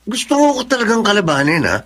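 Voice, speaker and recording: An actor with a Filipino accent playing an abusive, jealous young husband, taunting and aggressive, in a radio drama. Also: male voice, high voice, huge pitch swings, quick pace, heavy background noise. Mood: taunting, menacing.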